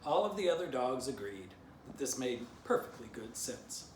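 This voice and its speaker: A man's voice: medium pitch, very animated, moderate pace, a little mellow. Man reading a children's story, echoey room. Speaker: author reading his book. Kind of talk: animated children's storybook narration. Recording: distant mic, roomy, noisy.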